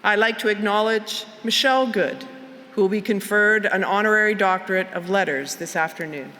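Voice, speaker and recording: A middle-aged woman with a bright, clear tone speaks in a measured, formal way as chancellor opening a university convocation. She talks at a podium microphone in an outdoor plaza. The sound carries a long reverb from the PA, with faint crowd ambience behind her. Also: mid-range voice, slight background noise. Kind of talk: formal ceremonial address.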